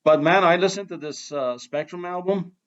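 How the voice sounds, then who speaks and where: A man's voice: sits high, moderate pace. A man with an Iranian accent hosting a casual interview, enthusiastic and admiring. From Iran. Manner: enthusiastic, admiring, chuckles often. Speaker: host. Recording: dull lo-fi sound.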